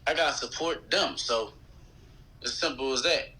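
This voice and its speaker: Bright, forceful Philadelphia man chatting. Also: medium pitch, normal speed, slightly rough, rough recording. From West Philadelphia, USA. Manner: colloquial, slangy, animated retelling with quoted dialogue. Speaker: rapper, interview guest. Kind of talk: casual chat, storytelling.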